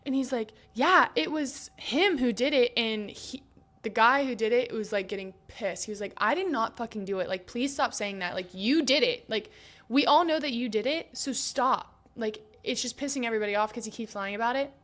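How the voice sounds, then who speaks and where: A woman's voice: fairly high pitch, normal speed, fairly bright tone. A young woman in her twenties with a slightly raised voice, spinning a long story for her podcast. She talks casually, leans heavily on filler words, swears freely and slips into quoting everyone else. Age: 20s. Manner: rambling, filler-heavy, profane, acts out others' lines. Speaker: podcast co-host.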